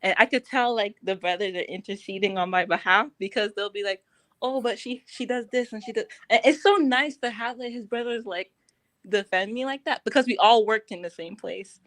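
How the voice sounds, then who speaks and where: Woman guest on a stream chat, giggly and warm, drifts off mid-thought. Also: medium pitch, brisk. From Barbados. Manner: bubbly, laughs often, trails off mid-sentence. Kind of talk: casual live-stream chat.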